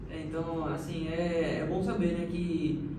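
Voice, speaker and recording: A man's voice: fairly high pitch, little pitch movement, a little slow. Man, hesitant interview host, distant mic, echoey and noisy room. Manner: hesitant, many "né" fillers. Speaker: podcast host. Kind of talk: casual interview.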